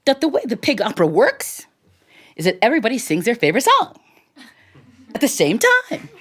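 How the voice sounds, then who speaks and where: Very animated woman storyteller. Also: on the high side, brisk. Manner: theatrical, expressive. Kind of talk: live storytelling performance for an audience.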